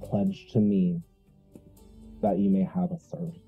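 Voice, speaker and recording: Gravelly, commanding dark heir acted by a man. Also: low-pitched, dull audio, faint music behind, exaggerated voice. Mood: solemn, commanding. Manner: grave, measured, ceremonial. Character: solemn, commanding heir claiming a dark kingdom's throne.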